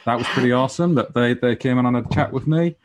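A man's voice: mid-range voice, fast, a little hiss or hum. A man, an electrical contractor, talking in a matter-of-fact way and grumbling about his workload in a casual chat. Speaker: electrical contractor, podcast guest.

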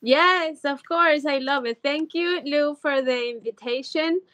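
A woman's voice: high-pitched, unhurried, fairly bright tone, no echo. A woman from Ecuador in her thirties, a podcast guest chatting eagerly with lots of "like" fillers. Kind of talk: casual interview chat.

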